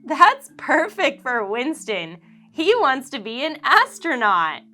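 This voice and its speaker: A woman voicing a cheerful, helpful young schoolgirl in a storybook read-aloud, speaking in a bright, encouraging way. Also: high voice, sing-song, takes it slowly, faint music behind, pitched above the performer's own voice, heavily acted.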